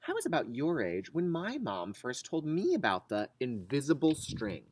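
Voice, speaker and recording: A reader as a reassuring storybook mom, expressive. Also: medium pitch, normal speed, husky, no echo. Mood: gently explaining. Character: calm, reassuring mother of young twins.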